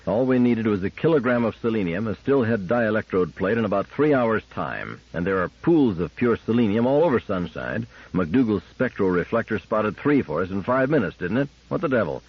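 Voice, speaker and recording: A level-headed male team leader from a science fiction story, voiced by a man reading aloud. He reasons tersely and stays controlled, in a lowish, husky voice. The sound is a little dull. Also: big pitch swings, normal speed, hardly any pauses, full character voice. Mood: firm.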